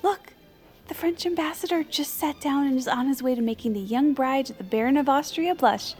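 An actress playing an eager, mischievous, gossipy aide in a comedic audio drama, with an excitable, theatrical manner. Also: female voice, high-pitched, brisk, faint music behind, close to an everyday voice. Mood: gleeful.

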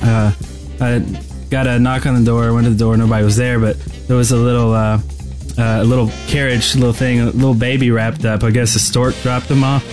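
A man co-hosting a podcast in casual chat, rambling and halting as he talks. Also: mid-range voice, little pitch movement. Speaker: podcast co-host. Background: music.

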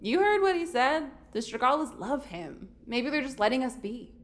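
A woman's voice: high voice, sing-song, moderate pace. A woman playing a crude but good-hearted young sidekick in a comic audio drama, with a casual, blunt, slangy delivery. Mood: blunt, exasperated.

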